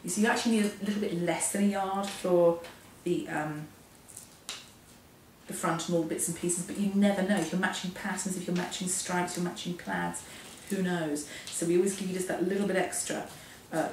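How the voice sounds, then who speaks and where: A hoarse woman walks through a sewing lesson step by step, chatty and self-deprecating. She stands well back from the mic in a very echoey workroom. A faint hiss sits under her voice. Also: fairly low pitch, steady tone, moderate pace, a little mellow, distant mic. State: hoarse, losing her voice from a cold. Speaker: sewing instructor. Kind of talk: hands-on sewing tutorial.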